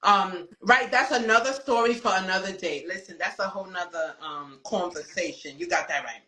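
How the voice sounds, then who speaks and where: A woman's voice: fairly low pitch, moderate pace, reverberant, a crowd in the background, rough recording. A woman hosting a casual live interview, affirming her guest and often asking "right?", with a mother who speaks Spanish. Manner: warm, affirming, lots of "right?". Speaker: host.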